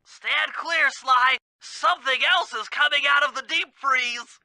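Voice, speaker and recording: A man's voice: very high-pitched, measured pace, pushed and bright, no echo, full character voice. A man voicing a fussy, brainy gadget expert in a video game, speaking in a precise, formal, instructive way. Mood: awed, then deflated. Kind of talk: video game mission dialogue.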